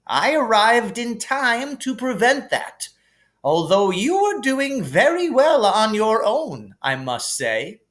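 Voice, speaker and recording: A man voicing a kindly, whimsical elderly wizard headmaster in a storybook reading, with calm, gently formal phrasing. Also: very high voice, slow, pitched above the performer's own voice, full character voice. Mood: earnest. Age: older. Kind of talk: audiobook character reading.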